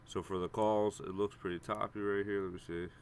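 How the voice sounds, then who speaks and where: A man's voice: on the low side, flat delivery, fairly quick, slight background noise. A man with a stuffy head cold hosts a live stream, casually walking viewers through chart levels and price targets. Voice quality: congested, nasal. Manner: casual, repetitive, addresses viewers as guys. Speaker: stock trading streamer. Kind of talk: live premarket chart walkthrough.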